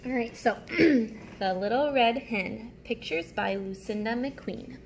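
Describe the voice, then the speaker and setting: A woman reading a picture book aloud as a teacher, with simple, deliberate phrasing and asides to young listeners. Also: fairly high pitch, slight background noise.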